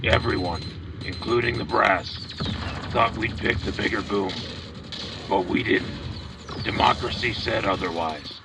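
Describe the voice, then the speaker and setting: A man playing a rousing, patriotic wartime radio commentator in a scripted broadcast, with a gravelly voice and emphatic delivery. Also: on the low side, measured pace, long pauses. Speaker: radio host. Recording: clear vehicle and machinery noise behind.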